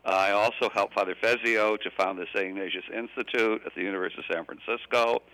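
A man's voice: on the low side, fairly even intonation, fast talker, sharp tone, clean tone, no room sound, low-quality recording. An elderly American man, a priest, talking matter-of-factly as a guest in a radio interview. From United States. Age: 70s or older.

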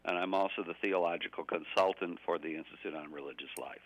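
A man's voice: low voice, fast talker, dry recording, rough recording. An elderly American man, a priest, talking matter-of-factly as a guest in a radio interview. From United States. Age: 70s or older.

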